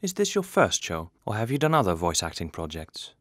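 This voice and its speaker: A man's voice: sits low, huge pitch swings, brisk, a bit bright, no echo, clean studio sound. A man reading out scripted questions as an interviewer.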